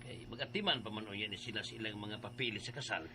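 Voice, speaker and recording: Low-voiced, courteous father. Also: fast talker, pushed and bright, slight background noise. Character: polite, cautious middle-aged father of the groom. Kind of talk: radio drama acting.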